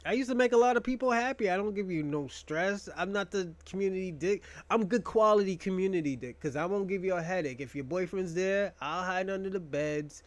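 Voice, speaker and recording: A young man with a notably high voice holds forth in a loose, rambling monologue. He jokes crudely and swears freely. The sound is dry and close, with no room echo. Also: fairly quick, hardly any pauses. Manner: profane, rambling, joking. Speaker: podcast host. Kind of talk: casual monologue.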